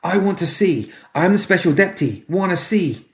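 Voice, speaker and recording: A man's voice: sits high, lively intonation, normal speed, echoey room, pitched above the performer's own voice. A man voicing a strange, bossy little boy in a story, with a piping voice and precise, childlike, petulant speech. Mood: earnest, insistent.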